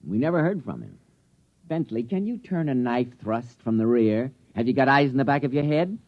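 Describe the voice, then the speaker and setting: A man playing a brisk, stubborn, patronizing inventor, matter-of-fact and emphatic, in 1950s radio drama style. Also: medium pitch, sing-song. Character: stubborn, brisk male inventor proud of his gear. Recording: dull vintage radio recording.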